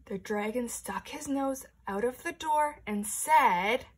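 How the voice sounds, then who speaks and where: A woman teacher reading a picture book aloud to young children, telling the story expressively and slipping into hushed, whispered asides. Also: a little high, takes it slowly, husky.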